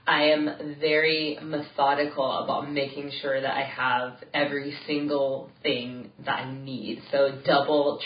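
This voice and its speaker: A low-voiced woman chatting on a podcast. She is stuffy with a cold and laughs her way through lively stories. She sounds far from the mic in an echoey room. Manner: laughs often, animated storytelling. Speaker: podcast co-host. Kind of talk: casual chat. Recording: roomy, distant, dull lo-fi sound.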